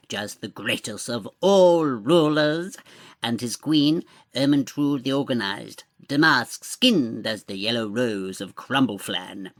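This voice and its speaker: An actor playing a pompous, irritable and scheming court lord in a comedy audio drama, with grandiose, haughty diction. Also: male voice, mid-range voice, fairly bright tone.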